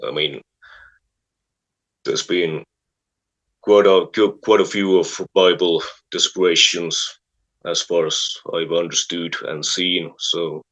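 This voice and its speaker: Slow, deep-voiced musician. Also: male voice, fairly even intonation, a bit bright, low-quality recording. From Finland. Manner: slow, hesitant, dry and wry. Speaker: guest, band frontman. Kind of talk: interview answers.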